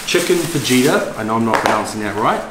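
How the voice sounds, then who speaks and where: A man's voice: medium pitch, expressive, quick pace. A young man chatting casually to camera as a fitness vlogger, with blokey, slangy, sweary talk. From New Zealand. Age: young adult. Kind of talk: casual vlog chat to camera. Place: indoors in kitchen, living room and gym. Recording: echoey room with background noise.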